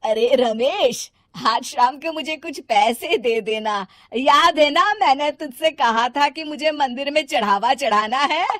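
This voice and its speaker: A woman's voice: high voice, measured pace, few pauses, a bit bright, no echo, clean studio sound, pitched above the performer's own voice. A woman voicing an irritable, demanding mother of a grown son in a cartoon moral story, scolding and indignant.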